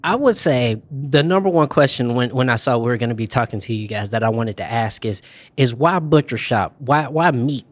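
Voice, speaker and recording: A man's voice: mid-range voice, animated, moderate pace. A man with a mid-range voice co-hosts a podcast. He asks questions in an inquisitive, casual way. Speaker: podcast co-host. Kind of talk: casual interview.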